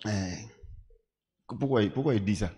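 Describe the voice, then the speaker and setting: A man preaching an animated sermon in French that is not his first language, acting out scenes with sound effects. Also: lowish voice, a little slow. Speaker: pastor. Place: large church hall. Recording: echoey church hall.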